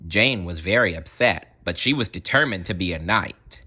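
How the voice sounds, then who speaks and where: A man reading a children's story aloud in an expressive, deliberate way, with casual, chatty asides. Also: sits low, breathy edge. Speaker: storybook reader.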